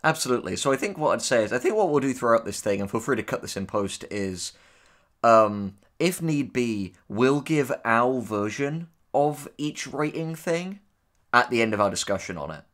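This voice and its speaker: A man co-hosting a casual commentary discussion, speaking in a measured, articulate and opinionated way. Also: mid-range voice, animated, fairly bright tone. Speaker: co-host, audio drama writer.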